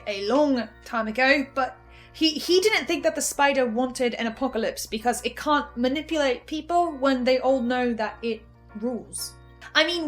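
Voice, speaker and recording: Woman as troubled researcher with ominous knowledge: higher voice, stammering yet intense monologue, music underneath. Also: close to an everyday voice. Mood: hesitant musing. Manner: halting, stammering, intense, with pauses. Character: anxious female researcher with ominous knowledge, brooding and defiant. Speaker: host reviewing a fiction podcast in character. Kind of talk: dramatic in-character monologue.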